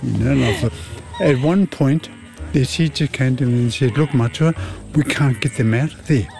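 A man's voice: mid-range voice, normal speed, creaky, soft background music. A man who leads a community garden, talking casually in an outdoor interview and often tagging "eh". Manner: relaxed, repeats words, tags "eh".

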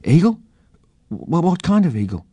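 Man playing a curious young boy, raised pitch. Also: big pitch swings, normal speed, warm tone, slightly rough, no room sound. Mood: feigning innocence. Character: inquisitive, teasing young boy.

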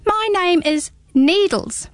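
A woman's voice: extremely high pitch, lively intonation, slow, no room sound, pitched above the performer's own voice, full character voice. A woman voicing a small, talkative hedgehog in a storybook reading, prim and rambling. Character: small chatty fussy hedgehog. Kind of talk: storybook read-aloud.

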